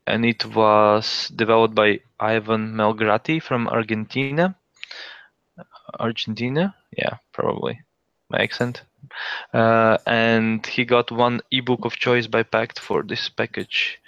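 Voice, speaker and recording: A man's voice: on the low side, little pitch movement, a little slow, fairly bright tone, clear, resonant tone, no echo. A man hosting a casual tech show, reading out listings and thinking aloud as he goes. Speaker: podcast host. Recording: dull lo-fi sound.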